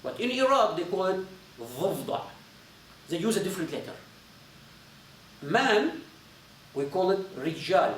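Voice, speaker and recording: Syrian guy w arabic as first language giving a halting informal lecture, kinda high voice, mic far off. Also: very animated. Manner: halting, repetitive phrasing with searching pauses. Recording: roomy echo, distant mic, faint noise.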